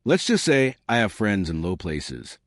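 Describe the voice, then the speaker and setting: A man's voice: lowish voice, big pitch swings, unhurried, no room sound, clean studio sound. A man voicing a small, fierce woman space captain in an audio story, wry, teasing and confident. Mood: confident, sly.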